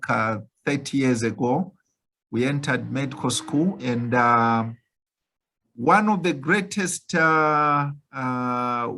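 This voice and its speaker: Man, 50s, from Zambia, slow, measured, earnest, somewhat high voice, video call. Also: a bit bright. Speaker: obstetrician panelist. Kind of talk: online panel talk.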